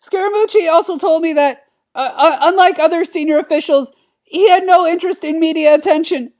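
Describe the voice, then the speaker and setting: Timid adolescent boy, cartoon comedy, very high squeaky pitch, nervous stutter, narrating, flustered. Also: brisk, a little mellow. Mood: flustered, earnest. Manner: anxious stuttering, repeated word starts. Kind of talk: comedic dramatic reading of reported narration.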